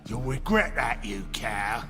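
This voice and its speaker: An actor playing a belligerent, bullying street thug in a fantasy game, sneering and drawing out words in a strained voice. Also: male voice, mid-range voice, animated, over music. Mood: threatening. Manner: sneering, drawn-out delivery.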